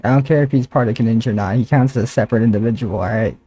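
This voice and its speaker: A man's voice: medium pitch, very fast, warm tone, faint music behind, low-quality recording. A man doing casual, slangy live stream commentary over a game, with joking complaints.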